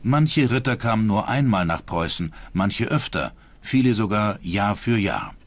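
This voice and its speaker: Low-voiced, animated male documentary narrator. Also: measured pace. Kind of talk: scripted history documentary narration. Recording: music under the narration.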